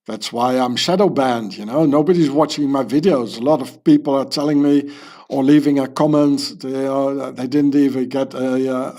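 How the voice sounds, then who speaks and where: A man's voice: medium pitch, a little mellow. A man from South Africa who grew up speaking French at home, giving a rambling commentary to his video channel and asking rhetorical questions. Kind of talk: casual commentary monologue.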